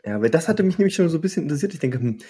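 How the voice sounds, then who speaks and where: A man's voice: a little high, lively intonation, rapid-fire, warm tone, breathy edge. A man hosting a casual interview, asking long, friendly questions and nudging the guest on with short replies. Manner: friendly, encouraging with short backchannels. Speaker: interviewer, host.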